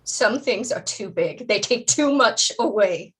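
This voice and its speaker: A woman playing an anguished, protective mother with halting, emotional delivery in a staged play reading. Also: mid-range voice, lively intonation, natural voice. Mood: stern, wounded.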